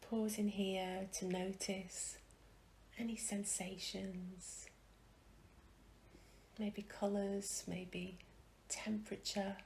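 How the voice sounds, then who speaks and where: Slow, creaky-voiced woman guiding meditation. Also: mid-range voice, level tone, slight background noise, rough recording. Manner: gentle, soothing, measured with long pauses. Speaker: meditation guide. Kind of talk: guided meditation.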